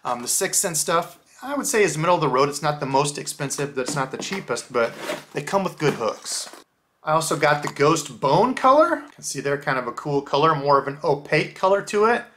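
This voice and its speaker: A man in his thirties talks through fishing gear in a relaxed, chatty way, full of little fillers. His voice sits a bit high for a man and sounds bright and forward. He is recorded close up at a desk in a small room. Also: a little fast. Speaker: fishing channel host. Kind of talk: casual lure unboxing review. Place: small indoor room with lures on the wall.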